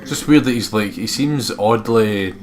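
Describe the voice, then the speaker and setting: A man co-hosting a let's play, reading the game's text as expressive narration and adding casual commentary. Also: lowish voice, measured pace. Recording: game music underneath.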